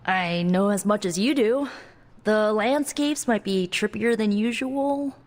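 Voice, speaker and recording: An actress playing a casual, wry young spellcaster ally in a video game. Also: female voice, medium pitch, measured pace, darkish tone, natural voice. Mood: wry, unsure.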